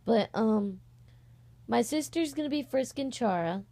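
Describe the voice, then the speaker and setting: A woman's voice: fairly high pitch, lively intonation, unhurried, gravelly, mellow. A young woman chatting informally in a scattered, rambling vlog style, easily sidetracked. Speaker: amateur voice actor and video host. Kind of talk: casual rambling vlog chat. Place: on a bed at home, indoors.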